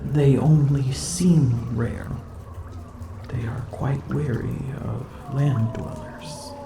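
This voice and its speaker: A woman's voice: very deep voice, reverberant, music in the background, exaggerated voice. A woman voicing a proud, smug female dragon in human form, haughty and teasing, in an ASMR roleplay. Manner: haughty, teasing, slow and deliberate.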